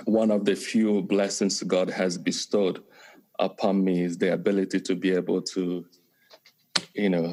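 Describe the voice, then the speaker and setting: A man from Nigeria with a Nigerian accent, a priest and counseling professor answering interview questions in a measured way with many pauses. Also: low voice, creaky, a little mellow. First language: Igbo. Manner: measured, many pauses and fillers, light laughs.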